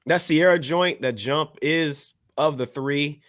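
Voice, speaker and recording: A man bantering casually as a music podcast panelist, slangy and halting as he hedges his opinions. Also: on the high side, a bit bright, no echo, clean studio sound.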